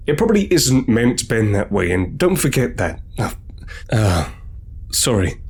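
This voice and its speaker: Guy doing a fussy robot doctor in an audio drama, medium pitch, clinical and careful. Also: very animated, measured pace, slightly rough, full character voice. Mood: advising. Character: fussy, absent-minded male robot doctor giving advice.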